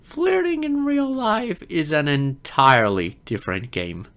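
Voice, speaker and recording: A man's voice: on the high side, sing-song, measured pace, a bit bright, exaggerated voice. A man playing an insecure, geeky adult silver fox in an audio roleplay, hesitant, stammering and self-deprecating. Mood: shy, playful. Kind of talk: ASMR-style audio roleplay acting.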